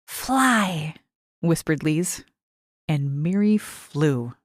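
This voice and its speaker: Low-pitched woman reading fiction, dry sound. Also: lively intonation, moderate pace, darkish tone, no room sound. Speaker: short fiction reader. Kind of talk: expressive scripted story narration.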